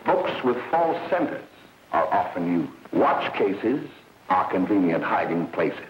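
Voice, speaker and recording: An actor playing a stern, alarmist doctor giving a grave, formal lecture in a 1930s propaganda film. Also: male voice, deep voice, very animated, measured pace, echoey room. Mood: grave, urgent. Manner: grave, emphatic, formal, melodramatic. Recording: scratchy, muffled 1930s film soundtrack.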